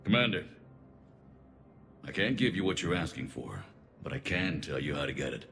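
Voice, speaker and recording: A man playing a grave, weary alien councilor in a video game, measured, formal and authoritative. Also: a bass voice, very animated, heavily acted. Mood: confiding. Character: grave alien male councilor, weary but pragmatic statesman. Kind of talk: dramatic video game acting. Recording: echoing hall, clear background noise.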